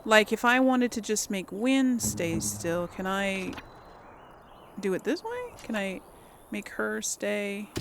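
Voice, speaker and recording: A woman's voice: medium pitch, slow pace, slight background noise. A woman giving casual game commentary, thinking aloud and chatting to her viewers. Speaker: gamer hosting a let's play. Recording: game music behind the voice. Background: video game music.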